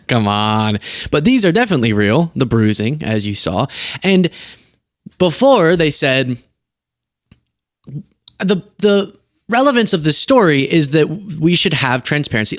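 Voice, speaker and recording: Young male host, casual and animated, tired but giggly, dry close studio sound. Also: dry recording. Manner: animated, giggly, quick asides and sudden high outbursts. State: tired from lack of sleep. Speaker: political commentary host. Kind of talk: casual political commentary to camera. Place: home studio at a desk. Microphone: earpiece and studio mic.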